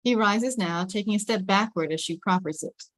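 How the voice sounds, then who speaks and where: A woman's voice: medium pitch, normal speed, no room sound. A woman reading stage directions as the narrator of a scripted drama table read.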